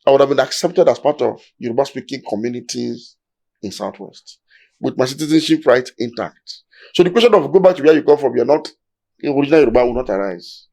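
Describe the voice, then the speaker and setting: Fast-talking Nigerian man lecturing. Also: medium pitch, expressive, creaky, darkish tone. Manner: lecturing, emphatic, builds points step by step. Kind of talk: discussion, explaining history.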